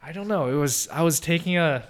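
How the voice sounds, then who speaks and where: A man's voice: fairly high pitch, a little fast. A young man whose first language is Chinese, talking casually as an interview guest, thoughtful and full of restarts. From China. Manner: thoughtful, many restarts and fillers. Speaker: podcast guest.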